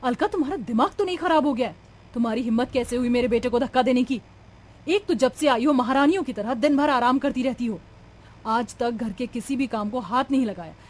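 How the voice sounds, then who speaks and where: A young daughter-in-law in a family cartoon, voiced by a woman, high in pitch. She is sharp-tongued and indignant, scolding her way through domestic feuds with plenty of melodrama. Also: brisk, close to an everyday voice. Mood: angry, indignant. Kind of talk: cartoon family drama dialogue.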